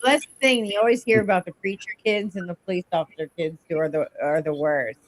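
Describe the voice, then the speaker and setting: A woman's voice: lowish voice, steady tone, fast, poor audio. A woman hosting a podcast, chatting casually and laughing easily with her guests. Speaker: podcast host. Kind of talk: casual chat.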